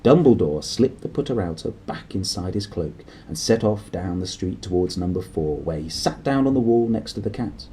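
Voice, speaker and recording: A man narrating a children's storybook aloud in an expressive style. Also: sits low, normal speed, hardly any pauses, slight background noise. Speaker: storybook reader.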